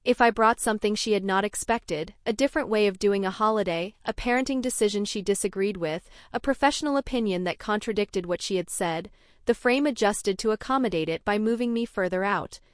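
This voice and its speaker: A young adult woman from Norway, narrating her own story in a measured, even scripted way. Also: medium pitch, clear, resonant tone, no room sound, studio quality.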